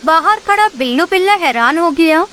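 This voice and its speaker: Very high-pitched woman telling children's stories. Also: animated, fairly quick, pushed and bright. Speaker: narrator. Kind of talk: scripted children's story narration.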